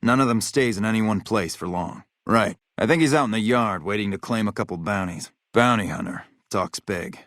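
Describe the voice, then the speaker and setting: An actor playing a hard-bitten, vengeful military officer in a video game, blunt, profane and matter-of-fact. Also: male voice, fairly low pitch, moderate pace, few pauses, fairly bright tone, no echo, clean studio sound, natural voice. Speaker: game character, army officer.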